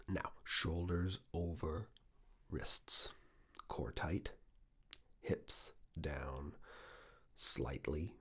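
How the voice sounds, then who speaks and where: A man in an ASMR roleplay playing a stern, controlling yet reassuring fitness assessor, giving hushed, clipped commands in a breathy, gravelly voice that often slips into whispers. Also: rumbling low, exaggerated voice. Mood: stern, encouraging. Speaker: ASMR performer. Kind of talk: ASMR personal attention roleplay.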